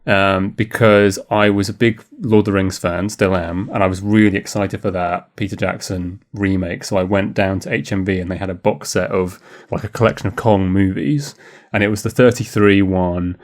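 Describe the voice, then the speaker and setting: A man's voice: sits low, fairly even intonation, moderate pace. A man co-hosting a podcast, talking in a casual, rambling, conversational way about films. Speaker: podcast co-host. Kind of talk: casual film discussion.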